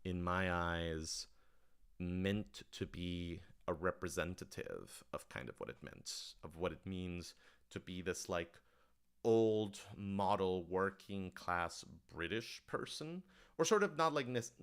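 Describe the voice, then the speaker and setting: A man with a low-pitched voice speaks in a casual, off-the-cuff livestream style. He explains things thoughtfully and with some animation, often qualifying his words as he works toward the point. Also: expressive, slow pace, a bit bright, smooth. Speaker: writer-director answering audience questions.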